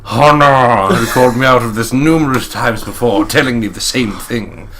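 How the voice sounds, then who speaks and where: A man reading a visual novel aloud dramatically as a let's play host, swearing and breaking into excitable joking commentary, with game music behind him. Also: medium pitch, moderate pace, crowd noise. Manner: excitable, sweary, jokey ad-libs.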